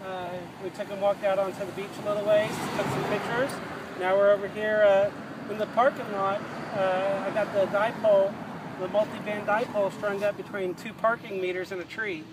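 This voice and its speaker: A man's voice: sits high, level tone, fairly quick. A man chatting casually over ham radio outdoors. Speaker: amateur radio operator. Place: outdoors in a beachside parking lot. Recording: distant, very noisy outdoor recording.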